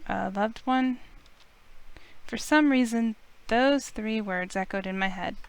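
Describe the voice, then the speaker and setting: A woman streamer reading visual novel narration aloud in an expressive storytelling style. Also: on the high side, takes it slowly, smooth.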